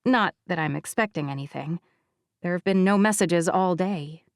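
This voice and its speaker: A woman narrating a first-person romance audiobook in a measured, conversational way. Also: fairly low pitch, no echo, clean studio sound.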